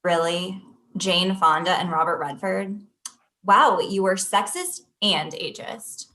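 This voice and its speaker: A woman's voice: fairly low pitch, slow pace, sharp tone. A woman playing a sarcastic, dismissive bar patron in a comedy table read, curt in manner.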